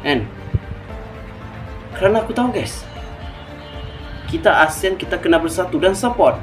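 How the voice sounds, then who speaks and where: Brief: Malaysian man, fairly high voice, emphatic chat to viewers, faint music underneath. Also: animated, normal speed. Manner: emphatic, switches between loud bursts and low trailing asides. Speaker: football reaction YouTuber.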